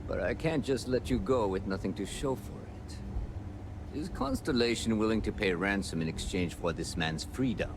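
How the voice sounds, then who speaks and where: A genial, mercenary male space pirate for a video game. He speaks with courtly manners and big swings of pitch, over clearly audible background noise. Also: moderate pace. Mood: genial, sly. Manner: courtly, expressive.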